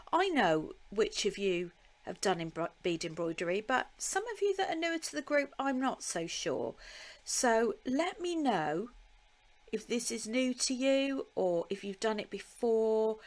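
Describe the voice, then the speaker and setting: A woman giving a live beading tutorial, chatting with her viewers, laughing now and then and filling pauses with ums while she works. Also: on the high side. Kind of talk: live-stream craft tutorial.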